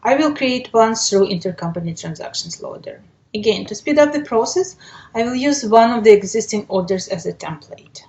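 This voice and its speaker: A woman presenting a software webinar, explaining a step-by-step demonstration in a methodical way. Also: fairly high pitch, lively intonation, normal speed, highs cut off.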